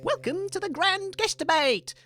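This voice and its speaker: A woman's voice: very high voice, lively intonation, moderate pace, fairly bright tone, faint music behind. A woman playing a showy, eager debate host, announcing theatrically in a comedy audio show. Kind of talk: comedy audio sketch hosting.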